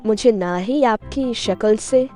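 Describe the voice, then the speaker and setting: A woman playing a gentle, dignified young princess in a cartoon fairy tale, composed and formal. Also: on the high side, slow pace, soft background music. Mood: composed, accepting.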